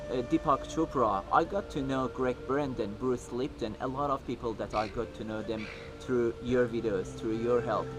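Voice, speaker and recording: A man with a Persian accent speaks earnestly and warmly, pausing often and reaching for words. His voice sits at a middle pitch. Music plays clearly behind him. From Iran. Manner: earnest, heartfelt, with frequent pauses and fillers. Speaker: video maker. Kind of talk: personal video message.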